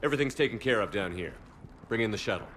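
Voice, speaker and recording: An actor playing a curt, matter-of-fact human military commander in a video game cutscene. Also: male voice, on the low side, lively intonation, very fast, a little hiss or hum. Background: loud orchestral score.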